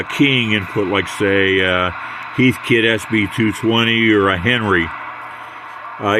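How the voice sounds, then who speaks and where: An older American man giving a casual hands-on equipment demonstration in a halting, unhurried way. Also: on the low side, background noise. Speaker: radio hobbyist demonstrating equipment.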